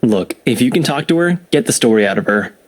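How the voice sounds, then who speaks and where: An easygoing young man played by a male actor in a visual novel game. His voice is medium in pitch and conversational. He comes across as a caring, slightly worried friend talking casually. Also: animated, brisk, lightly acted. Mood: concerned.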